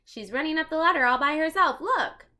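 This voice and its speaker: A woman voicing a caring, eager young girl in a children's storybook adventure, in an exclamatory, expressive way. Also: high-pitched, brisk, pitched above the performer's own voice. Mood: delighted.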